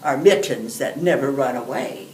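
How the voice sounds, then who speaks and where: A woman's voice: very deep voice, moderate pace, darkish tone, voice pushed down. An elderly woman voicing an eager, earnest little boy in a storybook, pleading and fretting. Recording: roomy, distant microphone, background hiss.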